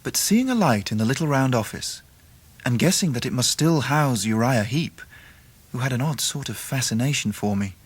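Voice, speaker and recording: An actor playing a grown man who narrates his boyhood memories in a radio drama, measured and literary. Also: mid-range voice, animated, breathy edge. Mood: reflective. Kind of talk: scripted first-person narration in radio drama.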